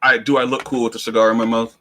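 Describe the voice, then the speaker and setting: A man's voice: mid-range voice, little pitch movement, racing, a bit bright, a little hiss or hum. A man playing in a tabletop game, talking in a casual, joking way.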